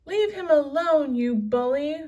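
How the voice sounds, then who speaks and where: A woman voicing a plucky young girl in a children's storybook, shouting with indignant defiance. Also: high-pitched, animated, a little slow, recorded from a distance, pitched above the performer's own voice. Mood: angry defiance. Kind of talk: children's storybook read-aloud.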